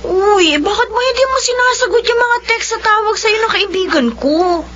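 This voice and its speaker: Outspoken loyal best friend, high voice, lively pitch swings, muffled radio drama, faint music. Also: female voice, moderate pace, slight background noise. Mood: pressing. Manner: indignant, lively. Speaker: Joy, the heroine's friend.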